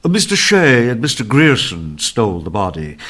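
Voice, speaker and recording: An actor playing a smug, scheming, greedy villain in an old-time radio mystery. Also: male voice, medium pitch, wildly swooping pitch, moderate pace, fairly bright tone.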